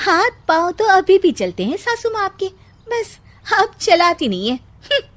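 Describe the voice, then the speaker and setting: A woman voicing a scornful, bossy middle-aged mother-in-law in a moral story, with a sharp, scolding manner. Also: extremely high pitch, very animated, measured pace, voice pushed up, exaggerated voice. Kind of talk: animated moral story acting.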